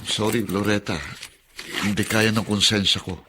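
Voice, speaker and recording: A man's voice: on the low side, fairly even intonation, moderate pace, pushed and bright, a little hiss or hum. A man playing an honest, devout driver and father in a radio drama, earnest and resolute, with a Filipino accent. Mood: earnest, firm.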